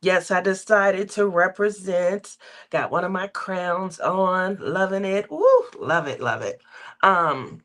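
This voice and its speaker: A woman with a slightly low voice hosts a relaxed craft livestream, chatting to her viewers. She sounds nasal from a lingering cold and a sore throat. She talks in loose, meandering stretches, dotted with fillers and frequent little laughs. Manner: rambling, laughs often, drawn-out filler words.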